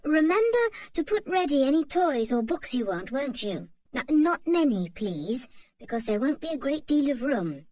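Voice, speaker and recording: A woman reading aloud, voicing a gentle, reassuring mother in a children's storybook. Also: sits high, big pitch swings, normal speed, voice pushed up. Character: kindly calm mother of three.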